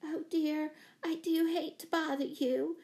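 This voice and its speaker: A woman's voice: high-pitched, expressive, moderate pace, mellow, pitched above the performer's own voice. A woman voicing a fluttery, anxious, apologetic older spinster in a children's storybook, gushing and flustered. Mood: flustered, breathless.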